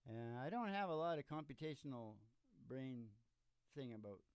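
An older man hosting in casual banter, rambling and halting, often trailing off, speaking close into a stage microphone. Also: medium pitch, animated, clean tone, no echo. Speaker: podcast host and country singer-songwriter. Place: small curtained studio stage. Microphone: stand microphone up close.

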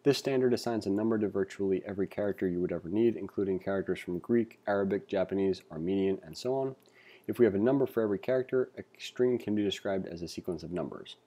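A man giving a read-along tutorial as an instructor, reading aloud and adding informal asides as he thinks out loud. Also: low-pitched, fast. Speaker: programming instructor. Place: indoors, screen-recording voice-over.

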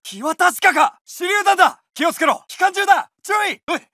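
An actor playing a fierce, dutiful Japanese World War Two soldier with an urgent, clipped military delivery, in video game combat lines. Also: male voice, extremely high pitch, lively intonation, moderate pace, bright, forceful tone, dry recording, studio quality, exaggerated voice.

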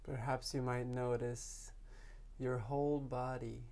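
A man leading a guided meditation as a teacher, speaking in a soft, calming way with long pauses. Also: medium pitch.

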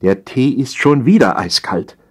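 Man putting on a nagging, husky housewife empress. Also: medium pitch, expressive, a little slow, pitched above the performer's own voice. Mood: bossy scolding. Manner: scolding, complaining, fussy. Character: nagging, put-upon housewife empress, exasperated and bossy.

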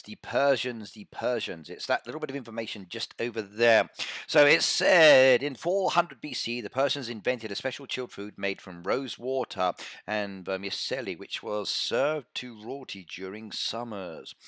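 A man's voice: medium pitch, a bit bright, no room sound. A middle-aged British man with a British accent streaming a mobile game live, chatting through a headset mic and reading out viewers' messages. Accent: British English. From Britain. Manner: chatty, reads out chat and answers viewers. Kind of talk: casual live-stream commentary.

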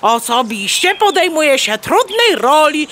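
A woman's voice: high-pitched, sing-song, measured pace, a bit bright, a little hiss or hum, full character voice. A woman voicing a bossy, clever mother hen in a children's audio drama, declaiming and clucking between her words. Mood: determined, conspiratorial.

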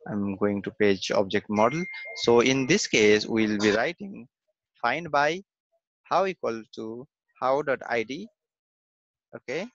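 A man giving a screen-recorded software testing lesson, halting, with many fillers and a habit of saying "okay" and "fine.". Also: medium pitch, creaky, muffled recording.

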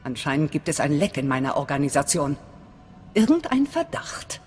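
Eine Schauspielerin als strenge, zurückhaltende Anführerin einer Widerstandszelle in einem Videospiel, befehlend und sachlich. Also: female voice, deep voice, sing-song, normal speed, a little mellow. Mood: suspicious. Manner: commanding, matter-of-fact. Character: stern, guarded female resistance cell leader. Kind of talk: dramatic video game dialogue in German. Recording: long hall reverb. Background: background music.

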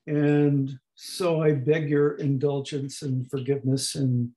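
A man in his eighties lecturing slowly over a video call, with a hoarse, gravelly voice that is not fully distinct and that often pauses and restarts. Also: mid-range voice, little pitch movement, warm tone, rough recording. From United States. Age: 80s. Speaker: professor emeritus giving a talk. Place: home room at a desk. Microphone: webcam on a video call.

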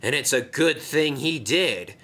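A man's voice: medium pitch, animated, unhurried, bright, forceful tone, pitched above the performer's own voice. A man voicing a polite, anxious little male steam engine who speaks hesitantly and deferentially.

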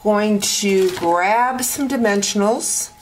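A woman teaching card making step by step at her craft table, talking in a relaxed way with many ums and uhs. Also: medium pitch, slight background noise. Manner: slow, relaxed talk with frequent ums and uhs.

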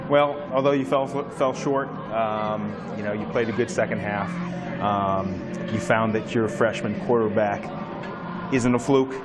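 A man analyzing the game in a postgame studio, measured with a few fillers. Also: lowish voice, steady tone, loud music behind the voice.

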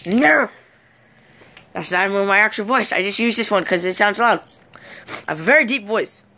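A man's voice: sits high, expressive, moderate pace, bright, forceful tone, a little hiss or hum. A teenage boy chatting casually on his vlog in a cluttered bedroom, playful and rambling, filming himself on a phone at arm's length. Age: teens. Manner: playful, rambling, hums filler sounds. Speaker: vlogger.

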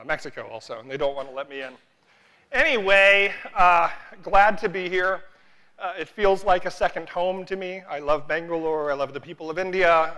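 Bright-voiced man giving a keynote. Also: on the high side. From United States. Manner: self-deprecating, joking asides, frequent "uh" fillers. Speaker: conference keynote speaker. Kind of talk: humorous conference talk. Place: large conference stage indoors.

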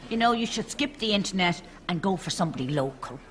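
A woman's voice: mid-range voice, lively intonation, fast talker, natural voice. Woman playing inquisitive, chatty Irish neighbour. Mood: nosy, chatty. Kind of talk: sitcom acting. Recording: noisy studio sitcom audio.

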